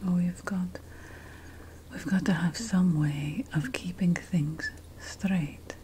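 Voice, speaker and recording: A woman playing an ancient, wry and fussy witch mentor in a slow, breathy whispered ASMR fantasy roleplay. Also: fairly low pitch, background noise. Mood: fussy, instructive. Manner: slow, deliberate, wry, theatrical. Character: ancient Mesopotamian witch mentor, teasing, fussy about plant remedies.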